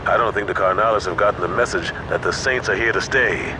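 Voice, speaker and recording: A man's voice: on the low side, quick pace, big-room sound, very noisy, close to an everyday voice. An actor playing a stern, cold male gang leader who gives orders in a video game cutscene. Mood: commanding. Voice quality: firm, commanding.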